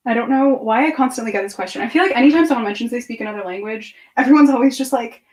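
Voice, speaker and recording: Girl in her 20s doing a chatty livestream, kinda high voice, rambly and a bit distracted, thinking out loud. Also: racing. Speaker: YouTuber answering live viewer questions. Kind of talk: casual livestream chat. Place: room at home with bookshelves.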